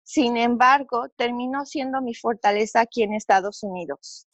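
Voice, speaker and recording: A woman's voice: on the high side, normal speed, a bit bright, no echo. A middle-aged woman from Mexico with a Mexican Spanish accent, telling her story earnestly as an interview guest, with fillers and restarts.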